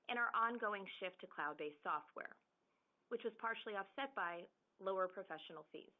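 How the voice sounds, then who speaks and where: A woman finance executive reading scripted earnings call remarks in a formal, measured manner. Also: mid-range voice. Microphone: phone conference call. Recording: narrowband phone conference line.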